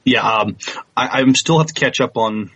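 A man's voice: medium pitch, moderate pace, fairly bright tone, slight background noise, rough recording. A man co-hosting a podcast, chatting casually and putting questions to a guest. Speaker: podcast co-host.

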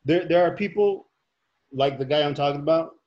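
A man from Chicago's southwest side talks as a podcast guest, easygoing and agreeable, stumbling into his points with restarts. His voice sits a bit higher and sounds husky. The recording is dull and lo-fi. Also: a little fast, darkish tone. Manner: conversational, agreeable, hesitant restarts. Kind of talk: casual podcast chat.

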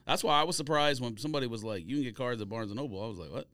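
A man's voice: medium pitch, rapid-fire, a bit bright, dry recording, clean studio sound. A man in casual podcast banter, blunt and opinionated, speaking in slang.

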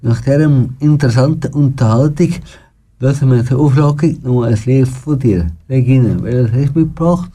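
A man co-hosts a radio talk show and announces between segments. His voice is very dark and mellow at an ordinary pitch, and his delivery is smooth and steady. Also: normal speed. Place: radio studio.

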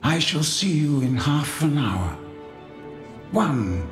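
A man voicing a calm, commanding old male wizard headmaster who speaks in a measured, grave way. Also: on the high side, soft background music. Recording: roomy echo.

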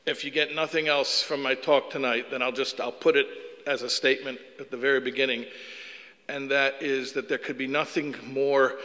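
Man with a Chicago accent, guest lecturer: earnest and self-deprecating, bright tone, lecture-hall echo. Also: mid-range voice, steady tone, normal speed. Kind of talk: public lecture with jokes.

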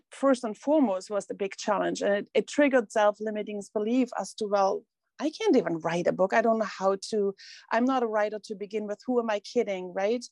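A woman author whose first language is not English, answering interview questions earnestly, with halting restarts. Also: a little high, darkish tone, no echo. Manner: earnest, halting, repeats words. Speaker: guest author.

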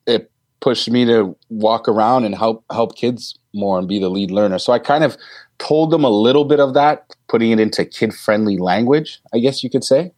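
A man in his thirties, a teacher, answering interview questions in a deep voice, thoughtful and hesitant with many ums and restarts. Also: normal speed, a little mellow, dry recording, studio quality. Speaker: teacher guest.

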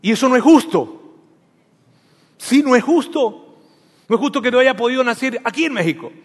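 A man's voice: very high voice, expressive, moderate pace, long reverb tail. A man from Venezuela, preaching a sermon with humorous stories and asking his audience questions.

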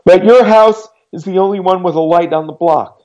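A man's voice: moderate pace, mellow, lo-fi sound, natural voice. A man voicing a frightened, pregnant teenage girl who begs to be let in out of a storm. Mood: pleading. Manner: pleading, shaky, high.

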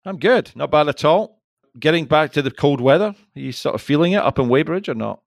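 A man's voice: on the high side, animated, brisk, vocal fry, no echo, studio quality. A man hosting a podcast, chatty and teasing as he introduces his guest. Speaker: podcast host.

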